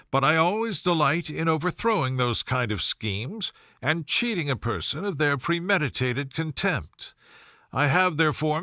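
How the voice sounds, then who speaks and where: A spirited young woman of a classic storybook, played by a male narrator. The delivery is arch and self-assured, teasing with playful wit in a clear-cut, lively melody. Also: on the high side, no echo, clean studio sound.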